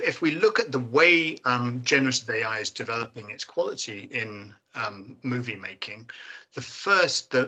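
Man, bright tone, expert guest, measured, hesitant fillers. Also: mid-range voice. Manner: measured, frequent filler ums. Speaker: film scholar guest. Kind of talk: expert commentary in discussion.

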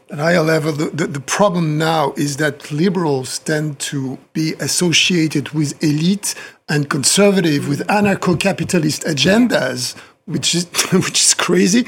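A man with a gravelly, husky voice speaks as a guest on a news panel. He halts often and repeats words while he looks for the right phrasing. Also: on the high side. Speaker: panel guest, documentary producer.

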